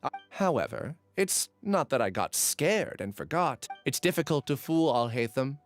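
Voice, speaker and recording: An actor playing a troubled misfit researcher in a video game, guarded yet earnest in manner. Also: male voice, medium pitch, very animated, moderate pace, faint music behind, lightly acted. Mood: confessing.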